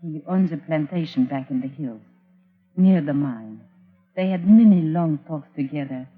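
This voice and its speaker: A woman's voice: deep voice, lively intonation, moderate pace, narrow, tinny audio. A secretive French-accented woman of intrigue in a radio drama. She speaks formally and with care, on a thin vintage recording with faint music. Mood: earnest. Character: mysterious, earnest French-speaking café woman. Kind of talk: 1940s radio acting.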